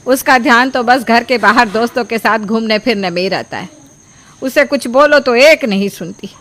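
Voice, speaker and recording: A woman voicing a worried middle-aged mother in an animated family story, nagging and insistent. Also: fairly high pitch, normal speed, slight background noise, natural voice.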